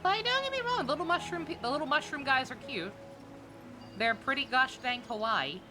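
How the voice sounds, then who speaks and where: A woman's voice: sits high, expressive, moderate pace, music in the background. A woman livestreaming a game, chatting casually with viewers in a sweary, wry, sarcastic way. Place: indoor game streaming setup, game music behind.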